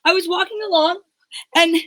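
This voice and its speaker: Woman as high, bright shaken young lady. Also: fairly even intonation, moderate pace, no echo, low-quality recording. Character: shaken young woman, polite kidnapping victim. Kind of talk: old-time radio detective drama acting.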